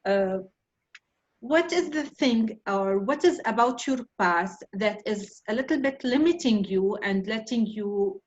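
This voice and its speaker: A woman's voice: mid-range voice, muffled recording. Lebanese-accented woman hosting a group session. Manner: reflective, personal storytelling. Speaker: mastermind group host. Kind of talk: online group discussion.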